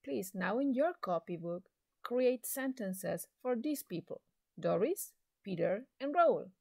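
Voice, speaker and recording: A woman teaching an English lesson to secondary students, speaking slowly and deliberately with an encouraging classroom manner. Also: mid-range voice, animated, gravelly, a little mellow, no room sound, studio quality. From Chile. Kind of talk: slow recorded classroom lesson.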